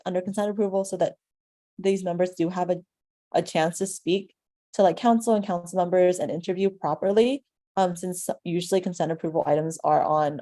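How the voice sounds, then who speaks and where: A woman's voice: fairly low pitch, dark tone. A woman council member raising a question at a meeting, hesitant, with lots of fillers.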